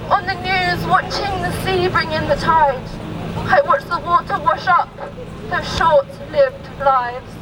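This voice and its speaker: Slow, high-voiced woman reciting poetry outdoors. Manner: measured, declaimed in rhymed phrases. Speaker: poem reader at protest rally. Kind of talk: spoken-word poetry recital. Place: outdoors at a street protest. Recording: distant outdoor mic, crowd, traffic noise.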